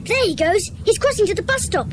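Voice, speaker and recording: Junior detective for a children's radio play: excitable schoolboy, piping and animated, eagerly inquisitive. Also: female voice, very high voice, brisk, slight background noise. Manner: excitable, questioning. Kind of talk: dramatised children's audiobook acting.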